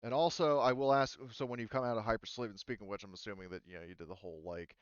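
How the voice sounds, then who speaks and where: A man with a smooth, lowish voice explains a tabletop game as its game master. He talks casually and hesitantly, rambling in an easygoing way. The sound is close and somewhat dull. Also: fairly even intonation, very fast, no echo.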